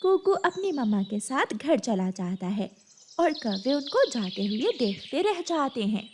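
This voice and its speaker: A woman's voice: fairly high pitch, sing-song, unhurried, darkish tone, breathy edge. A woman telling a scripted children's story as its narrator.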